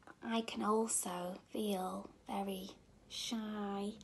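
A young woman, a nursery teacher, reading a children's story expressively and gently, as if to small children. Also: medium pitch, level tone, slow, vocal fry, a little hiss or hum. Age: young adult. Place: indoor room at home.

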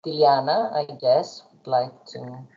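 A woman moderating an online webinar, calmly inviting participants to introduce themselves. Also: very deep voice, slow pace. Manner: calm, polite, guiding. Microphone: video call. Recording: muffled video-call audio.

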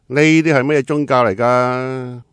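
A man's voice: medium pitch, fairly even intonation, a little slow, fairly bright tone, clear, resonant tone, lightly acted. An actor playing an opinionated, exclamatory male teahouse customer with a Hong Kong accent in a radio drama. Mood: indignant.

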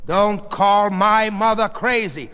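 A stubborn, protective grown daughter read by a male narrator, firm and confrontational. The voice is fairly high and forceful, set in long reverb like a storybook read in a hall. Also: big pitch swings, measured pace, exaggerated voice. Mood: demanding.

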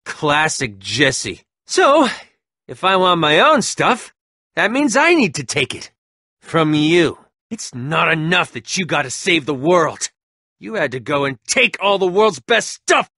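A sneering young rival gang leader. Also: male voice, sits high, sharp tone, no room sound, clean studio sound, full character voice. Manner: sneering, taunting, mocking laughs. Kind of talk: video game voice acting.

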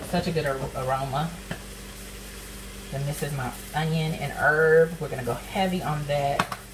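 A young woman, chatting casually through a cooking demo in her home kitchen and calling viewers honey and sis. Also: low-pitched. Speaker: cooking vlogger. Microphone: camera nearby, speaker off to the side. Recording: kitchen with frying noise. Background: sizzling pan and stirring.

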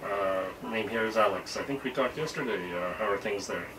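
A middle-aged man giving an informal amateur radio demonstration and making calls in a halting manner. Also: lowish voice, very noisy. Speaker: amateur radio operator demonstrating satellite contact. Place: small cluttered workshop or radio shack. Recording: loud radio sounds, roomy camera audio.

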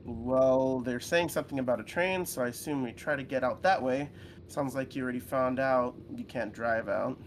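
A man's voice: mid-range voice, normal speed, slight background noise, natural voice. A man voicing a blunt, curt male lizard friend in a visual novel game. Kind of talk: voice-acting visual novel dialogue.

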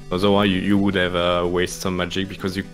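A man's voice: low voice, completely flat delivery, normal speed, soft background music. A man from France with a French accent, explaining a game speedrun in hesitant live commentary full of restarts. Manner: hesitant, many restarts and fillers, apologetic.

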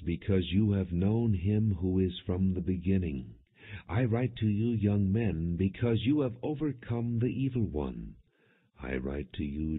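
A man narrating an audio Bible in a measured, solemn reading with deliberate phrasing. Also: sits low, slightly rough. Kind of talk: non-dramatized Bible reading. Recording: muffled, phone-like narrow-band audio.

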